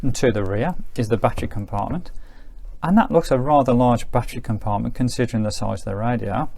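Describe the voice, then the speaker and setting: Gravelly man demonstrating a gadget. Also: mid-range voice, fast, a little mellow, no echo. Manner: methodical, explanatory. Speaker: product reviewer. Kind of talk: casual hands-on gadget demonstration.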